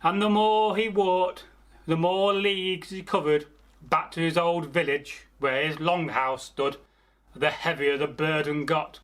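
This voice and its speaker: A man telling a folktale aloud as a storyteller, theatrical, pausing mid-phrase and speaking directly to his listeners. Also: fairly high pitch, fairly even intonation, a bit bright. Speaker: storyteller, channel host. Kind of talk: oral folktale storytelling, improvised.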